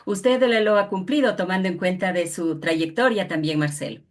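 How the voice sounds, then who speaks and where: A woman's voice: on the low side, normal speed. A woman with an Ecuadorian accent conducting a conversational interview, addressing her guest formally and respectfully. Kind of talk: conversational radio-style interview.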